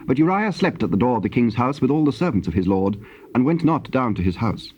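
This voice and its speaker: A man's voice: mid-range voice, sing-song. Solemn British male narrator, muffled tape. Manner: solemn, measured storytelling. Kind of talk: scripted narration of King James scripture. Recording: dull, hissy old cassette tape.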